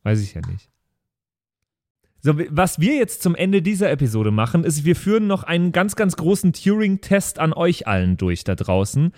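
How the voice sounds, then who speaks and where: A man's voice: a little high, very animated, normal speed, darkish tone. A man hosting a podcast, chatting casually in a joking, informal way. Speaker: podcast host and game master. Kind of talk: casual podcast outro chat.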